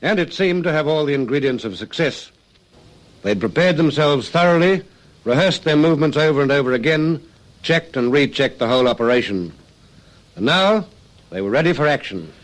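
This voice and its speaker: A solemn male narrator voiced by an actor for a radio police serial. His voice sits fairly high for a man, and he delivers scripted crime narration with formal drama. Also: lively intonation, moderate pace, lightly acted, rough recording. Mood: grave, suspenseful.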